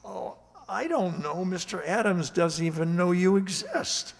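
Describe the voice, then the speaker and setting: An actor playing a timid, amiable night security guard in a radio drama, hesitant and folksy, stammering at times. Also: male voice, high voice, sing-song, unhurried, creaky, long reverb tail, heavily acted. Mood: amiable, uneasy. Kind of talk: radio drama acting and narration.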